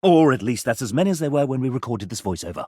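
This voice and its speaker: A man's voice: medium pitch, very animated, darkish tone, slightly rough, no room sound, studio quality. An actor playing a theatrical, fussy and sardonic man, a video game show host with an arch, dramatic manner. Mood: bright, showy hosting. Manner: arch, dramatic, drawn-out phrasing with big swoops.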